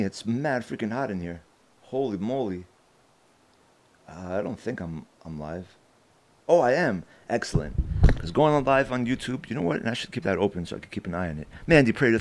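A man in his thirties talking with his stream chat and freestyle rapping into a handheld mic in a small bedroom studio, chatty and quick with rhymes. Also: lowish voice. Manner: chatty, self-correcting, rhymes fast over a beat. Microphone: handheld mic held close. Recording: hip hop beat playing underneath. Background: hip hop beat.

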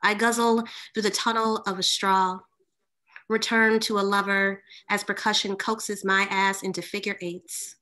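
A woman's voice: medium pitch, flat delivery, unhurried, sharp tone. A woman in her thirties giving a poetry reading with casual asides, recorded at home over a video call. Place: room at home with bookshelves, indoors.

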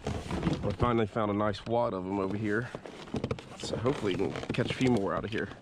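A man's voice: on the low side, a little fast, background noise. A man talking casually through his fishing trip from a kayak on a swampy creek. He records on a head-mounted action camera and swears freely as he goes. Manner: relaxed, chatty, swears freely. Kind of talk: casual narration while fishing.

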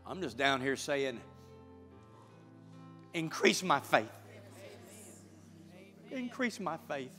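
A middle-aged male pastor preaching and praying fervently before his congregation, building to loud prayer. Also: mid-range voice, very animated, slow pace, long pauses. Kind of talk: sermon and prayer. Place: large church sanctuary with congregation. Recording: church hall with music behind.

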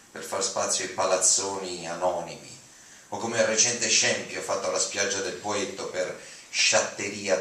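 A man's voice: lowish voice, pushed and bright. A man gives a declamatory, dramatic reading of prose. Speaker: reader of literary texts. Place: auditorium, at a lectern before an audience. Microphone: lectern mic, recorded from far camera. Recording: echoey hall, distant mic, room noise.

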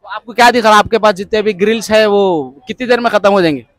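Hyderabadi man in his thirties fronting a vlog, high-voiced and playful, handheld mic. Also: fairly bright tone, no room sound. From Hyderabad, India. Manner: jokey, teasing, quick to laugh. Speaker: vlogger host. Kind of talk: casual vlog chat. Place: crowded indoor expo hall and outdoor food stall.

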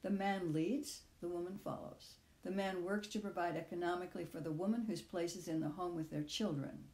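An older woman reading her own personal essay aloud in measured, deliberate phrases. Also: lowish voice, steady tone, a little mellow, echoey room.

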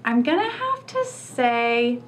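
Animated woman chatting casually. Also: a little high, takes it slowly, a little hiss or hum. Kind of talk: casual playful interview answers. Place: indoor school office. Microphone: camera mic from across desk.

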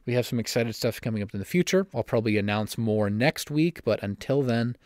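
A young man hosting a solo church-history podcast from home, slightly raspy and talking fast with frequent self-corrections. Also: mid-range voice, expressive, a little mellow, no echo, clean studio sound. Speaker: podcast host. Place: home room.

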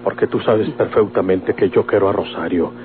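Male actor as possessive older rural Mexican father, pleading yet commanding, low register, humming narrow-band vintage radio. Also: normal speed, lightly acted. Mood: wounded, reproachful. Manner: pleading and commanding, rustic speech. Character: jealous, possessive aging father in prison, rural outlaw. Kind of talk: old-time Mexican radio drama acting. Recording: old tinny radio recording with hum.

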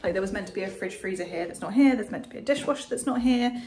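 A woman's voice: mid-range voice, lively intonation, rapid-fire, darkish tone. A young woman, chatting candidly to her phone camera as she walks through a house and down a street. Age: young adult. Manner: chatty, candid, talks while walking around. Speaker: vlogger. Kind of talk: casual vlog narration. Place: empty house indoors, then outdoors on a street. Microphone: phone at arm's length.

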